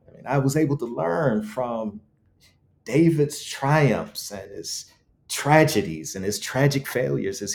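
A man's voice: medium pitch, darkish tone. A man speaking as an interview guest, reflective and eloquent, with emphatic, preacherly storytelling and rhetorical repetition. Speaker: guest author.

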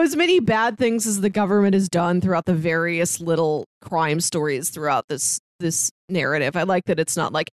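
A woman's voice: mid-range voice, no room sound, studio quality. A woman talking casually as co-host of a podcast discussion. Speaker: podcast co-host reviewing an audio drama.

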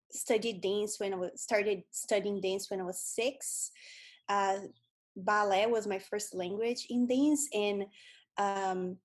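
A woman in her thirties from Brazil with a Brazilian Portuguese accent, speaking as a guest in a podcast interview, thoughtful and measured, with frequent pauses. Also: mid-range voice, a little mellow. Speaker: guest, dance professor.

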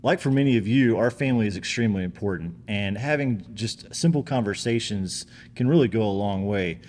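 A man's voice: on the low side, fairly quick, darkish tone. Man talking casually in a reverberant room. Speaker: father, guest. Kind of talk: casual family talk. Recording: reverberant room with background noise.

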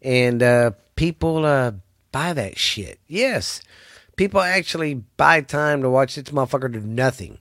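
A man's voice: mid-range voice, animated, unhurried, fairly bright tone, dry recording, clean studio sound. A man with a Texan accent hosting his own podcast in a laid-back, rambling way, swearing freely and sounding stuffy and congested. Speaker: podcast host. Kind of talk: casual solo podcast chat.

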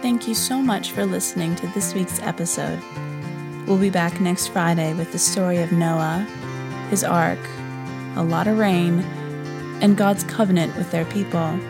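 A woman's voice: fairly low pitch, fairly even intonation, a little slow, creaky, music in the background. A woman, reading as a podcast host in a scripted style. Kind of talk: scripted narration, reading prayer requests.

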